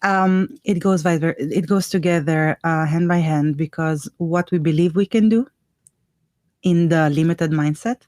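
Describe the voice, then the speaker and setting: A woman's voice: deep voice, unhurried, a little mellow, no echo, studio quality. A woman with a Hebrew accent, co-hosting a talk show and earnestly explaining ideas. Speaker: podcast co-host. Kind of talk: casual chat.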